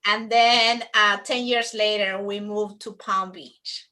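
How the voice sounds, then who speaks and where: Bright-voiced Peruvian-born woman realtor. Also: mid-range voice, steady tone, takes it slowly. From Peru. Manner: explains with frequent fillers and restarts. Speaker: realtor, guest. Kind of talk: casual interview chat.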